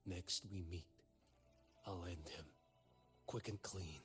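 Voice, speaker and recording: An actor playing a stern, guilt-laden warrior in a video game, speaking in a measured, somber and formal way. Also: male voice, deep voice, steady tone, faint music behind. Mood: grim, resolute. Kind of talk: dramatic video game acting.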